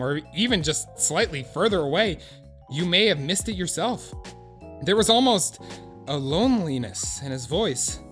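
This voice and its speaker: A man playing an earnest, excitable narrator of a comic fan drama, theatrical and mock-solemn in his delivery. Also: high-pitched. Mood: tender, solemn. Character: earnest, excitable male narrator of an awkward would-be friend. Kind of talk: dramatic second-person scripted narration with comic profanity. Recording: music under the voice. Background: background music.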